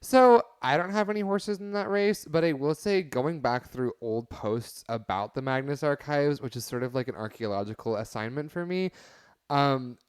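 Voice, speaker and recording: A man's voice: medium pitch, moderate pace, clean tone, no room sound. A young man in a casual podcast debate, speaking in a wry, wordy, mock-formal way. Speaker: podcast panelist.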